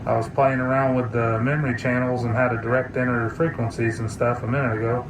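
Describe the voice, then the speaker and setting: A man's voice: medium pitch, little pitch movement, fast. A man giving an unscripted, casual hands-on gadget demo in an easygoing, technical way. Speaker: hobbyist video presenter. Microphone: phone camera mic. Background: radio chatter and hiss.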